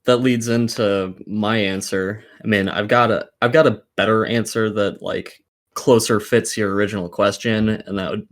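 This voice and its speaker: Male podcast co-host, 30s, somewhat deep voice, casual conversational read. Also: steady tone, normal speed. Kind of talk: casual chat.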